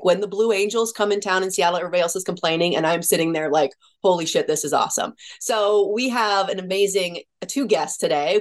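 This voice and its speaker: Stuffy-nosed enthusiastic woman podcast host. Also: lowish voice. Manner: enthusiastic, casual, swears freely. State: stuffy nose from a cold. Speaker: podcast host and mindset coach. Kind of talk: podcast interview.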